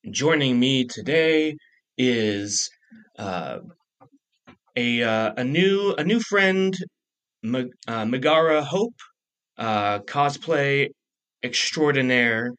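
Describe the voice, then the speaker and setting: A man in his thirties hosting a podcast, chatting casually in a halting way with frequent false starts. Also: mid-range voice, animated, fairly bright tone. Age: 30s. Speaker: podcast host. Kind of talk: casual conversational interview.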